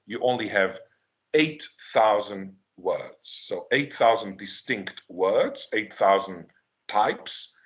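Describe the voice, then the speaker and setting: A man whose first language is Hebrew, giving an academic lecture, answering his own questions emphatically and slowing down to stress key words. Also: fairly low pitch.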